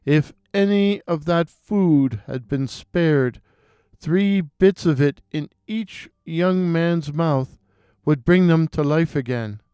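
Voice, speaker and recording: A man voicing a sinister, commanding dead man risen from his tomb in a folktale, with drawn-out delivery. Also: a little high, mellow, smooth, no echo, clean studio sound. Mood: grim command. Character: commanding male walking corpse, blood-drinking and sinister.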